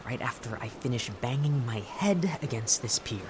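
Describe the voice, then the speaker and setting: A man's voice: mid-range voice, expressive, normal speed, close to an everyday voice. Awkward, lovestruck young man, an actor's role, outdoor noise. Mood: flustered, sheepish. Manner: hesitant, sheepish. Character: James, awkward, lovestruck, worrying young theater crewman. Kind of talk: audio drama acting.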